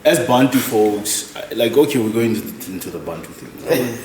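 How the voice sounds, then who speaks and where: A man's voice: fairly low pitch, expressive, normal speed, darkish tone, a little hiss or hum. A man hosting a casual talk, emphatic and often checking in with "right?". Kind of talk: casual conversation. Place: indoor room, at a table with food. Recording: echoey room.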